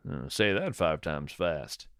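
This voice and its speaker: A man narrating a scripted first-person story as a podcast host, in a whimsical, deliberate and dryly playful manner. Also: a bass voice, big pitch swings, gravelly, a bit bright.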